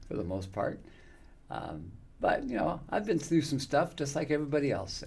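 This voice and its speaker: A man in his fifties with a low, gravelly voice talks through his live gaming stream in a friendly, rambling way, full of fillers and restarts. A faint hiss of noise runs under him. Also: darkish tone. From United States. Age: 50s. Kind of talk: casual live-stream chat with viewers.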